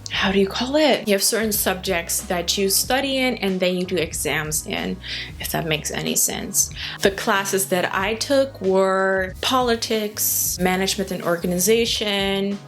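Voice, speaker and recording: A woman in her late twenties, Dutch by first language, talks openly and earnestly in a relaxed vlog update. Her voice sits at an ordinary middle pitch. Faint music plays underneath. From Netherlands. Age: late 20s. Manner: candid, chatty, earnest. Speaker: hair care vlogger. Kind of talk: casual personal update to camera.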